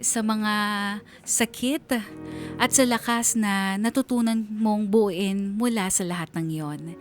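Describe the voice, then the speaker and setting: Woman acting filipina telling her life story for radio drama, earnest, reflective, mid pitch, faint music under. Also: lightly acted. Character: reflective woman letter sender narrating her painful, hopeful life story. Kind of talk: scripted dramatic narration.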